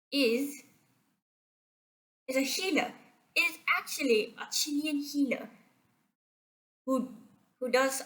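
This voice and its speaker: Young woman from Malaysia, slightly high-pitched, unscripted and halting, recorded on a computer mic. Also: a bit bright. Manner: hesitant, many fillers and restarts, unsure of facts. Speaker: video creator. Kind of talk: casual spoken movie summary. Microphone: computer screen recording mic.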